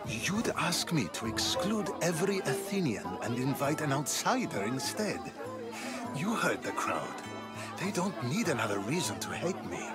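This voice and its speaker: Dignified, expressive Athenian statesman. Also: fairly high pitch, over loud music, over a noisy crowd. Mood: weary, wary. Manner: formal, measured, wry.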